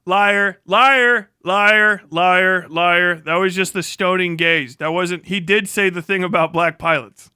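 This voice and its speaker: A man's voice: high voice, steady tone, slow pace, hardly any pauses, pushed and bright, clear, resonant tone, dry recording, clean studio sound. A man live streaming profane political commentary, mocking and sarcastic, swearing heavily in a combative way. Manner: heavy swearing. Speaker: live streamer reacting to a video.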